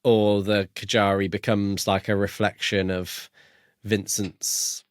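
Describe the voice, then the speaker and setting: A man's voice: fairly low pitch, little pitch movement, takes it slowly, dry recording, studio quality. A man co-hosting a book podcast in a casual, self-deprecating and slightly hesitant way. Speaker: podcast co-host.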